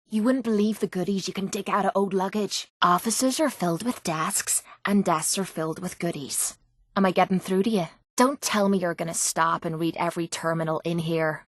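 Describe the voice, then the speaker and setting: A woman's voice: fairly low pitch, no echo, clean studio sound, natural voice. An actress voicing a tough, foul-mouthed young Irish woman, a bitter and wounded fighter in a video game, with a brash, blunt manner. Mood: wry, casual. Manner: brash, blunt, swearing, slangy. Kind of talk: video game character voice acting.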